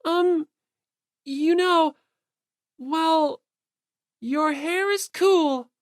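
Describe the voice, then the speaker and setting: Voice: extremely high pitch, very slow, no room sound, clean studio sound, pitched above the performer's own voice, full character voice. A performer voicing a shy, timid, easily hurt teenage boy in an audiobook, hesitant and apologetic. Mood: shy admiring. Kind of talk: audiobook character acting.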